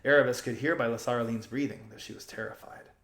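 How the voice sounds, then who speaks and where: A man reading a children's story aloud as an expressive audiobook narrator. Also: medium pitch, fast talker, roomy sound.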